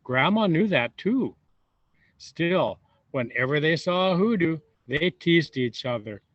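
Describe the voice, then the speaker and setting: A man reading a storybook aloud to young children, expressive and unhurried, pausing for wondering asides. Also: a little high. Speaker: volunteer storybook reader. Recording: roomy echo, dull lo-fi sound.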